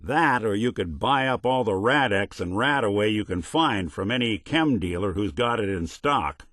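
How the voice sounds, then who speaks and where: An actor playing a world-weary, principled middle-aged synthetic detective in a video game, American, with a wry, laconic, folksy manner. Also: male voice, on the low side, lively intonation, moderate pace, fairly bright tone, no echo, clean studio sound. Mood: matter-of-fact. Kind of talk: video game character dialogue, old-time detective manner.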